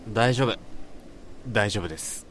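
A man's voice: on the low side, unhurried, fairly bright tone, a little hiss or hum. A man acting a polite, puzzled and troubled junior office worker in a Japanese radio drama, speaking hesitantly. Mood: troubled, deflecting.

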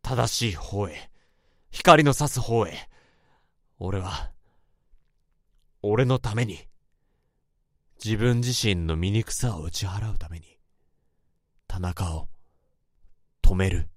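A man's voice: on the low side, slow, long pauses, no room sound, studio quality. An actor narrating and playing a troubled male office worker in a radio drama, brooding inner monologue and guarded dialogue. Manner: inner monologue that swings into frantic outbursts. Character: tormented male office worker who hears others' thoughts. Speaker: narrator and protagonist.

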